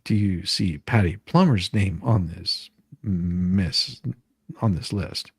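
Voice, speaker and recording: A man reading the part of a methodical lawyer questioning a witness in a dramatized deposition, formal and a little halting. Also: sits low, breathy edge, no echo, clean studio sound, exaggerated voice. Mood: businesslike.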